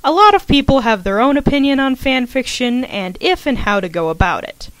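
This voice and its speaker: A woman speaks as an online channel host in an animated voice that moves freely up and down. She delivers a prepared introduction in an informal, chatty way, with little asides to her listeners. The sound is close and dry. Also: sits high, a little fast, no echo, low-quality recording. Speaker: host of a gaming channel, fan fiction writer introducing a podfic.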